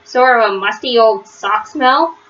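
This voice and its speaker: Storybook father, read by a woman: easygoing, reassuring, conversational, bright tone, slightly dull recording. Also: fairly high pitch, unhurried, slight background noise. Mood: wry. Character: father, a helpful, easygoing grown man.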